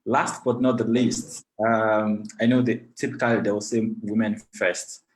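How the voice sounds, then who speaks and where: A man's voice: on the low side, level tone, a little slow, low-quality recording. A man from Nigeria, moderating an online panel over a video call in a gracious, formal way, thanking many people at length. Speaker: webinar moderator.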